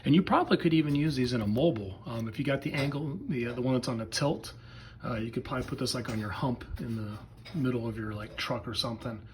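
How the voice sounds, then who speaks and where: A man's voice: medium pitch, quick pace, a little hiss or hum. A man giving a casual, unscripted hobby product demo in a hesitant, rambling, plain-spoken way. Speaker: hobbyist video presenter.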